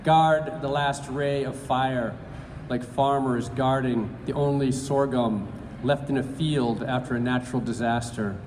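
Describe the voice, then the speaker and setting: A man reads poems aloud at a slow, measured pace. His speech is hesitant and full of fillers between the pieces. His voice carries through a reverberant hall with steady background noise. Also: medium pitch. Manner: halting with many fillers, then slow deliberate reading. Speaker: poetry reader. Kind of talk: live public poetry reading. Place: large event hall.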